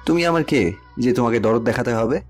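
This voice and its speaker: A man's voice: mid-range voice, brisk, close to an everyday voice. A man playing a cold, domineering rich man who bullies his mistress, speaking in curt commands in a romantic audio story. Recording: music under the voice.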